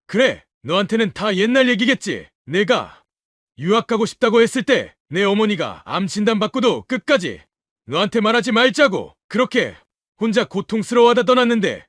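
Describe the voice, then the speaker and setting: Male actor as bitter elderly father for radio drama: high voice, very animated pitch, reproachful. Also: dry recording, clean studio sound, exaggerated voice. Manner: reproachful, emphatic questioning. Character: wounded, bitter old widowed father. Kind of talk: audio drama acting.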